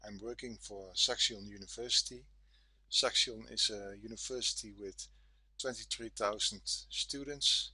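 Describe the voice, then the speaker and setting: A man with a Dutch accent, a native Dutch speaker, giving a software tutorial in careful, simple phrases. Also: on the low side, breathy edge, no echo. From Netherlands. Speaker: software developer, guest presenter.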